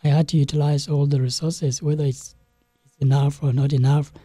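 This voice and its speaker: A young man from South Sudan, a game developer guest in an interview, telling his story in a halting way with many restarts and fillers. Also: a little high, level tone, dark tone, slightly rough, no echo. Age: young adult.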